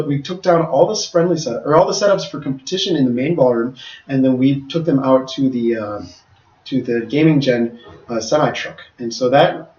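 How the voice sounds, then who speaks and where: A man's voice: medium pitch, a little mellow. Rambling man recapping from across a roomy space. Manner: rambling, many restarts and fillers. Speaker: tournament organizer on a podcast. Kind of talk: casual podcast recap. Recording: roomy, distant, dull mic.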